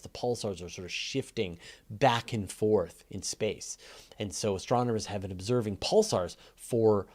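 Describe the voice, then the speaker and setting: Low-voiced man explaining things casually. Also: darkish tone. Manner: conversational, explanatory, thinking aloud. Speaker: host answering audience questions. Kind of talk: casual live science Q&A.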